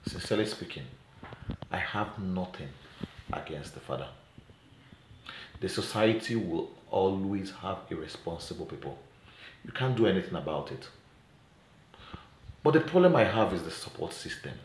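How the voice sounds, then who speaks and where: A middle-aged man with a Nigerian accent speaks earnestly to camera as an advocate, deliberate and emphatic, posing rhetorical questions. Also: low voice, big pitch swings. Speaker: child welfare advocate and presenter. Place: small indoor room at home. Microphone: phone at arm's length. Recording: roomy echo, faint background noise.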